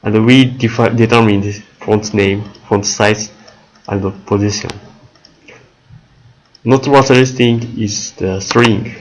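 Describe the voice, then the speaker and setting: Slow, fairly low-voiced man presenting. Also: steady tone, rough recording. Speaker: student presenter. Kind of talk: prepared presentation of a software project.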